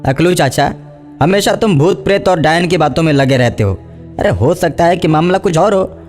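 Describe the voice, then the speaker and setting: A man's voice: fairly high pitch, lively intonation, moderate pace, a little mellow, faint music behind, close to an everyday voice. A man playing a firm, level-headed village headman who reasons and protests, in a cartoon horror story. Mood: reasoning. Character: assertive, level-headed male village headman, indignant at injustice. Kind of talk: Hindi animated horror story acting.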